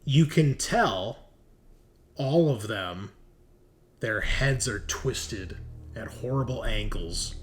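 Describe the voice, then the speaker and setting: A man's voice: mid-range voice, lively intonation, slow, long pauses, slight background noise. A man running a horror tabletop roleplaying game as game master, narrating descriptively and suspensefully.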